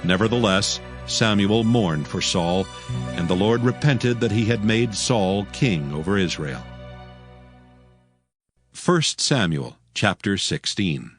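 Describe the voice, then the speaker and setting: Low-voiced male scripture narrator over soft music. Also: lively intonation, slow. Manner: solemn, formal.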